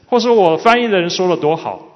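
A man with a high-pitched, very animated voice interprets a sermon phrase by phrase. He is recorded with long hall reverb that carries each line. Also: quick pace. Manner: follows the preacher phrase by phrase. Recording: dull, reverberant large hall sound.

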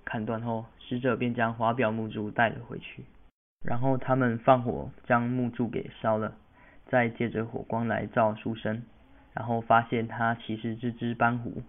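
A man's voice: medium pitch, flat delivery, moderate pace, phone-quality sound. A teenage young man narrating a scripted story for a student radio drama. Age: teens.

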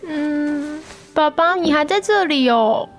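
Very high, childlike sick little girl. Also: animated, slow. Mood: soft, sleepy. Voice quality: childlike, thin voice. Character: sick little girl, sweet, whiny, afraid of needles. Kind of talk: radio drama acting. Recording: music under the voice.

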